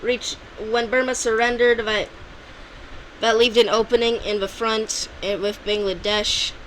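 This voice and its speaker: A woman talks casually over an alternate-history map, keeping her voice bright and clear at a middling pitch. She rambles, backtracks and corrects herself often, like unscripted commentary. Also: a little hiss or hum, rough recording. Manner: loose and rambling, with frequent restarts and self-corrections.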